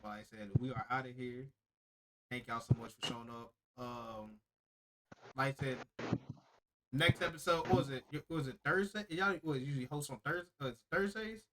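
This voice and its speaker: A man's voice: medium pitch, moderate pace, noisy background. A man hosting a wrestling podcast, slangy and hyped, who keeps saying "I ain't gonna lie". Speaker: podcast host. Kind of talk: casual podcast sign-off chat.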